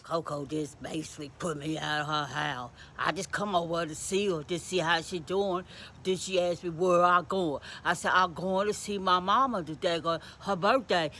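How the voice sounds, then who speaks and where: A woman's voice: on the low side, normal speed, sharp tone, slight background noise. A woman voicing an earnest, simple, pious male deacon with an American accent, plaintive and repetitive in folksy dialect, in a solo comedy skit. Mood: hurt. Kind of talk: solo character skit monologue.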